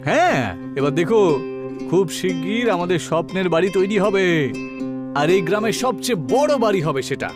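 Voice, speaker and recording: A man plays a trusting, excitable cartoon farmer from a village. The voice is high and emphatic, full of exclamation. Music sits clearly behind it. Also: a little fast. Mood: confident.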